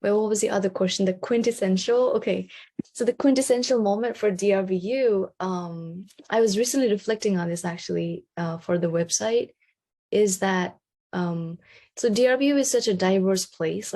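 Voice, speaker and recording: A woman's voice: medium pitch, moderate pace, gravelly, dark tone. A young woman from Nepal, a graduate student talking casually and hesitantly in an online session, with many ums.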